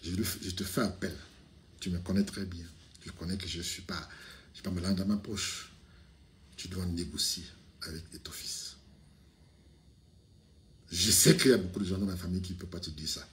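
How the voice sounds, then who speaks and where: A man's voice: low-pitched, steady tone, moderate pace, long pauses, a little hiss or hum. A man from Cameroon giving his political opinion straight to the camera, outspoken, with many restarts and fillers. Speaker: video commentator. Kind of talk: casual political commentary to camera. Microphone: camera, speaking to it directly.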